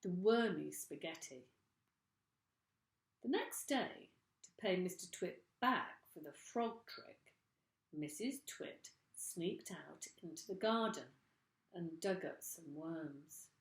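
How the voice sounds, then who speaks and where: Woman teacher, storybook narrator, slow and lively, echoey room. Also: lowish voice, vocal fry. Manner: slow, expressive reading. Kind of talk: storybook reading for children.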